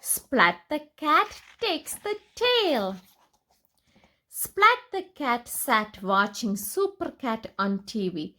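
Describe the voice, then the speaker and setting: A woman reading picture books aloud to young children in an expressive, deliberate storytelling manner. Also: on the high side, slight background noise.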